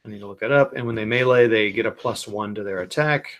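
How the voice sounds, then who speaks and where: A man hosting a board game stream, calmly explaining the rules with lots of ums, talking into a computer mic. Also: lowish voice. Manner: unhurried, many ums, thinks aloud over rules. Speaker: wargaming stream host. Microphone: computer mic at his desk.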